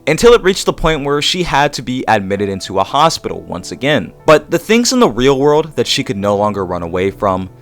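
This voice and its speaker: A man's voice: mid-range voice, big pitch swings, a little fast, few pauses. A young adult man reading a scripted video essay narration. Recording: voice-over with background guitar music.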